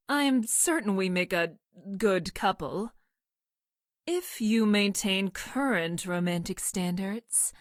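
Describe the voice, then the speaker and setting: Game role, actress: female future scientist, mid-pitched, clinical and emotionless, formal precise diction, close dry booth sound. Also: dry recording, natural voice. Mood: formal, detached. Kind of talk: scripted video game character lines.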